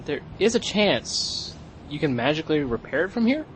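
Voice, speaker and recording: A man's voice: a little high, sing-song, normal speed, a bit bright, a little hiss or hum, poor audio. A man playing a dry, sardonic rifleman bantering casually in an audio comedy drama. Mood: dry, preoccupied. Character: wry, casual rifle sniper bickering with his brother.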